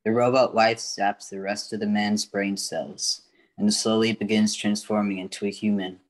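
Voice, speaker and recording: A man's voice: on the low side, little pitch movement, normal speed, slight background noise. A man reading scripted narration for a radio play as its narrator.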